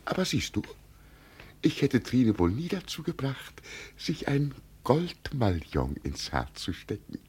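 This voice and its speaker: An actor playing a gentle, deluded old peasant who believes he is an emperor, solemn and dreamy in a 1950 radio play. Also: mid-range voice, wildly swooping pitch, a little slow, breathy edge, full character voice. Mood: earnest, wistful. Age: older. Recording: vintage 1950 radio recording.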